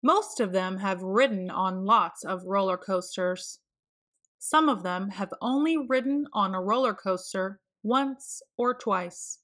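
Bright-voiced woman reading a storybook, unhurried. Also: mid-range voice. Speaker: children's read-aloud narrator. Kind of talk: expressive storybook read-aloud for children.

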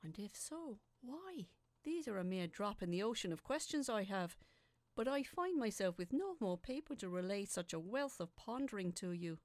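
A woman reading scripted first-person fiction as a dramatic narrator, with a deliberate, suspenseful, theatrical delivery. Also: mid-range voice, big pitch swings, dry recording.

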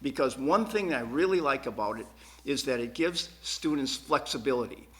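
Earnest man, halting and emphatic. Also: mid-range voice, expressive, a bit bright. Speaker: school board candidate. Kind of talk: answering questions at a candidate forum. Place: TV studio.

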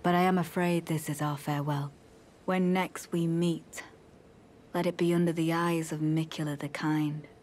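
Fantasy game knight female actor, zealous and solemn; lower register, archaic courtly phrasing, polite menace, reverberant sound with faint noise. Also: level tone, a little mellow, natural voice. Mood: calm, courteous. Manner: measured, solemn, formal. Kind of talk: video game voice acting, formal archaic dialogue.

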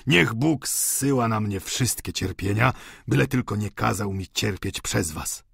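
A man's voice: on the low side, lively intonation, unhurried, gravelly, a bit bright, slightly rough, dry recording, clean studio sound, full character voice. A man voicing a doting old father, effusive and sentimental, pleading fervently with the daughter he adores. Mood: rapturous. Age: older.

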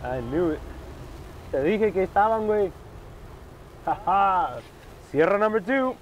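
A man with a Mexican accent and a fairly high voice talks casually as a fishing guide. He is a native Spanish speaker, recorded outdoors on a boat with faint noise behind him. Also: lively intonation, unhurried, highs cut off. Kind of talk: casual chat while guiding.

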